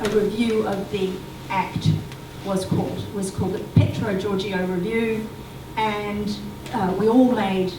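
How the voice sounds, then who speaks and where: A woman answers a question as a panelist at a residents' forum. She explains at length and often restarts her sentences. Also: mid-range voice. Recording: echoey hall, distant mic, background noise.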